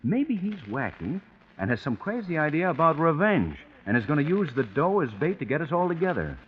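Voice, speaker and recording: An actor playing a wary, skeptical husband in an old-time radio crime drama. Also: male voice, on the high side, sing-song, fairly quick. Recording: muffled, noisy old radio drama recording.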